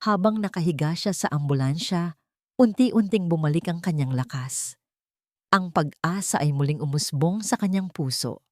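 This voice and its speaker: A woman tells a dramatic story from a script. Her voice sits a little low for a woman, and the recording is very dry and close, with no room sound around it. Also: normal speed, darkish tone, no echo.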